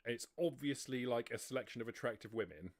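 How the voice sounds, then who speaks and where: A man running a tabletop roleplaying game, narrating off the cuff in a halting, deliberate way. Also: mid-range voice. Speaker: game master.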